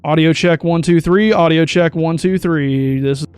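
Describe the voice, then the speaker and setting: A man's voice: moderate pace, darkish tone, clean tone, faint music behind. A man giving a step-by-step tutorial on a USB microphone, talking his way through each setting and correcting himself as he goes. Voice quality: describes his own voice as high-pitched. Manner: talks through steps aloud, corrects himself mid-sentence. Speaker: streamer explaining audio setup. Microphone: USB desk microphone.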